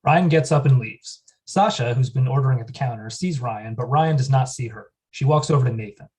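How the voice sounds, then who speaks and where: A man reading the screen directions at a comedy table read in a plain, even way. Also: medium pitch, fairly quick. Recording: mic at a distance.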